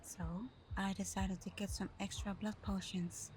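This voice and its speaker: A woman playing a caring, hurt vampire girlfriend in an ASMR roleplay, speaking softly and tenderly, often half whispering, with hesitant pauses. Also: mid-range voice, flat delivery, slight background noise, natural voice. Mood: worried, hurt. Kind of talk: ASMR roleplay, intimate scripted acting.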